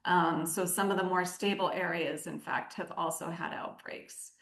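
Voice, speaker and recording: Woman aid director being interviewed, explains things carefully, lowish voice, roomy echoey sound. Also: vocal fry, fairly bright tone. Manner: measured, articulate, explanatory. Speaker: aid organisation country director, interview guest. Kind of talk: radio interview, explanatory answers.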